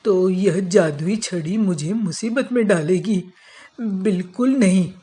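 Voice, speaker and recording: A man plays a crafty but fearful servant thief in a children's moral cartoon. He talks slowly, with a scheming edge. His deference to his betters rings false. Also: high voice, dark tone.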